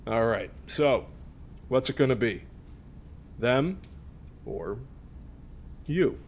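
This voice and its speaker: An actor playing a young prankster in an audio drama who poses as a coaxing killer among friends. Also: male voice, medium pitch, animated, drawn-out words, slight background noise. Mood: coaxing menace.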